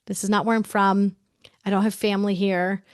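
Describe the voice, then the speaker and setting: A woman's voice: mid-range voice, level tone, brisk, no room sound, studio quality. A woman in her forties talking candidly as an interview guest, emphatic and chatty, often saying "like" and restarting her sentences. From United States.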